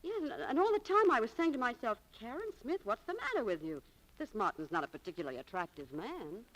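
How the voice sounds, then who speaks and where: A woman's voice: on the high side, sing-song, fast talker, fairly bright tone, low-quality recording. An actress playing a candid, romantic young shopgirl in a 1940s radio drama, breezy and confiding. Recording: vintage 1940s radio recording.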